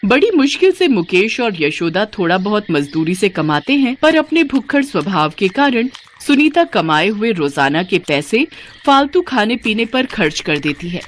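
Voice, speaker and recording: A woman's voice: mid-range voice, sing-song, moderate pace, slight background noise. A woman narrating a scripted children's story as a storyteller.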